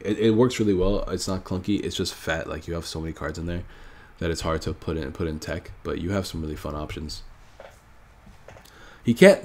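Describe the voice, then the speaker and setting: A man's voice: low-pitched, a little mellow. A man with a stuffy, nasal voice from a cold, casually thinking aloud while commenting on his own card game match. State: sick, stuffy nose. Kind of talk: live gameplay commentary.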